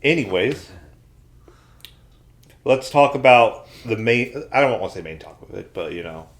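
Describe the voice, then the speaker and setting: A man's voice: mid-range voice, lively intonation, moderate pace. An American man hosting a casual panel chat. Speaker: podcast host.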